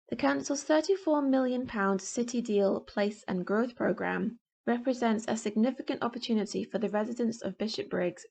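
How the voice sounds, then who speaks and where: Husky, creaky-voiced woman reading news. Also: mid-range voice, a little mellow. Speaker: volunteer newspaper reader. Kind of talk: scripted news reading for a talking newspaper. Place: home.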